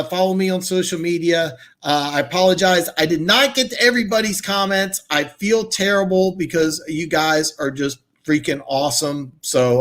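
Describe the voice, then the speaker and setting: A man hosting a live football chat stream, answering viewers in a rambling, enthusiastic way, his voice hoarse and congested. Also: on the high side, a bit bright. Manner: rambling, many restarts and fillers, enthusiastic.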